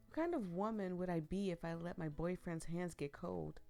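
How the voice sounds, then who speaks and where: Giggly woman, hoarse from flu. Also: fairly low pitch, moderate pace, warm tone, faint music behind. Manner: giggly, rambling asides to viewers. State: losing her voice after the flu. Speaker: streamer playing a visual novel. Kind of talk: reading a visual novel aloud with chatty commentary.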